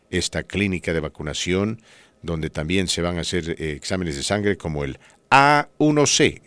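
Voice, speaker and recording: Male talk-show host, low voice with very lively swings, emphatic and rhetorical conversational commentary, dry close sound. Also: no room sound. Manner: emphatic, rhetorical, asks listeners tag questions. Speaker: radio podcast host.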